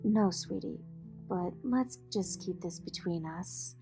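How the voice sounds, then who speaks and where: Woman acting as poised executive mother, audio drama, unhurried, measured, wryly amused, protective, clear music underneath. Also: lowish voice. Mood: proud, tender. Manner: measured, polished, dryly witty. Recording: music behind the voice.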